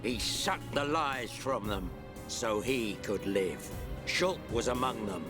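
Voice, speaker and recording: Game villain: callous male traitor, unhurried taunts, gloating sneer, music behind. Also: on the low side, lively intonation. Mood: mocking, gloating. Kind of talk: video game cutscene acting.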